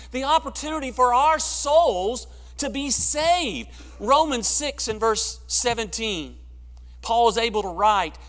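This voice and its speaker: A middle-aged man preaching a sermon in a church sanctuary, swelling to emphatic peaks and then dropping quiet and low. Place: church sanctuary, large room. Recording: long church reverb.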